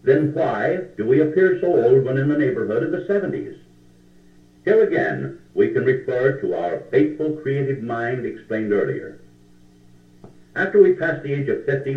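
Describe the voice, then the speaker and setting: A man's voice: medium pitch, far from the mic. A man narrating a scripted self-help tape in an emphatic, declamatory manner. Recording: tinny, echoey vintage cassette tape.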